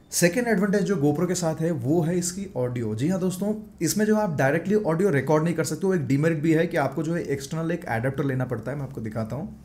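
A man's voice: fairly high pitch, darkish tone. A man in his thirties with an Indian accent, chatting casually in a home room as a moto vlogger reviewing action cameras, switching between Hindi and English. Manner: chatty, mixes Hindi and English, shows gear while talking. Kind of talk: casual gear review vlog. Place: home gaming room, indoors. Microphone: large foam mic on desk in front.

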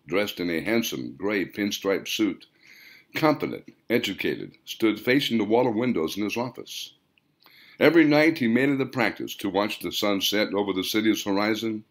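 Low-voiced man narrating, widely swinging pitch. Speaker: author reading own audiobook. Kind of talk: scripted audiobook narration.

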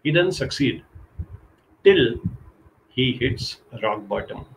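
A middle-aged man from India giving an earnest motivational talk over a webcam from a small curtained room, slowing down to stress key points. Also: mid-range voice, lively intonation, gravelly, slight background noise, low-quality recording. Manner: earnest, urging, slows down for emphasis. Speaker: counselor giving a live talk. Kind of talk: motivational live-stream talk. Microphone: webcam video call.

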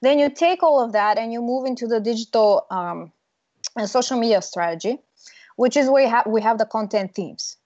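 Bulgarian-born young woman on a video call, confident and punchy, highish voice, dull dry call audio. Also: moderate pace, darkish tone, dry recording. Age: young adult. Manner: confident, emphatic, laughs now and then. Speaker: guest, branding consultant. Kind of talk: casual interview on video call. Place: small room indoors. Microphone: earbud mic on video call.

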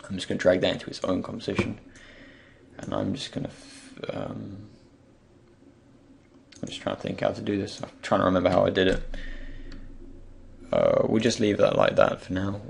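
A young man with a croaky voice, just woken up, thinking aloud with many pauses as he talks through a software tutorial. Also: low voice, flat delivery, slight background noise. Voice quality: croaky, gravelly. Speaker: tutorial creator. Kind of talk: screen-recorded software tutorial.

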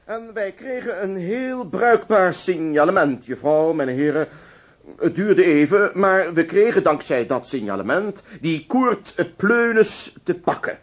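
Radio drama inspector: methodical, courteous formality, varied melody, fairly light pitch. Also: male voice, expressive, measured pace. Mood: narrating, composed. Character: composed male police inspector recounting an old case. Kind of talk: radio play acting, storytelling.

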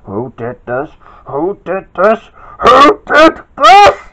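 Amateur reader as an excitable, emphatic young-hero male worker. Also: medium pitch, slow, voice pushed up, exaggerated voice. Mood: outraged yelling. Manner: emphatic, overwrought, stretched-out exclamations. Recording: dull, lo-fi home recording.

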